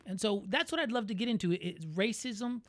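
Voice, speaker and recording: A man with an American accent co-hosting a podcast, talking in a candid, reflective and measured way. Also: high-pitched, animated, a bit bright, no echo. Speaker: podcast co-host. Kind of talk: casual conversational podcast.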